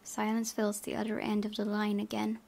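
A young woman reads visual novel narration aloud as a let's play host. Her voice is mid-pitched with noticeable vocal fry. She reads expressively, like telling a story. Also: monotone, brisk, darkish tone.

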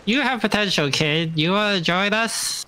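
Higher-voiced man rambling over gameplay. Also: moderate pace, bright, forceful tone, a little hiss or hum, poor audio. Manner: rambling, jokey storytelling. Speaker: streamer. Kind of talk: casual co-op gameplay chat.

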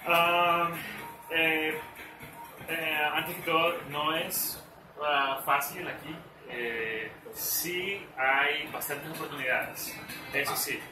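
A man's voice: on the high side, very slow, over music. A young man from El Salvador, raised in California, speaking as the guest in a casual interview, hesitant with many fillers. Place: living room at home. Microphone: far camera. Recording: roomy, far from the camera mic.

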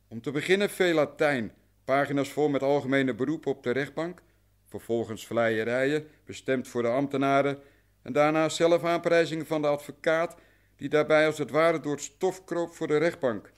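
A man's voice: mid-range voice, lightly acted. A man playing an anxious, deferential client in a radio drama, recounting things at length and meticulously. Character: long-suffering male client, anxious, deferential, talkative. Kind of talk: radio drama acting in Dutch.

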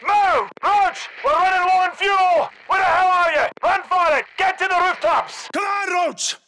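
An actor playing a tough, urgent special forces squad leader in a video game, giving clipped, commanding military orders. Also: male voice, very high voice, a little slow, bright, forceful tone, heavily acted, rough recording. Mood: desperate, urgent. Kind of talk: video game battle dialogue.

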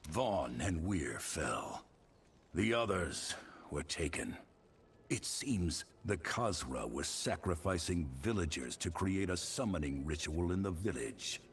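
An actor playing a grave adventurer in a fantasy video game, with gravelly, solemn, deliberate delivery. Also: male voice, deep voice, expressive, a little hiss or hum, heavily acted. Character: grave adult male adventurer hunting demon cultists. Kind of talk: dramatic scripted video game dialogue. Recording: game music behind the voice.

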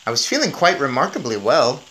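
A man's voice: mid-range voice, sing-song, brisk, pushed and bright, low-quality recording. A man in his thirties reading a children's novel aloud with expression. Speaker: story reader.

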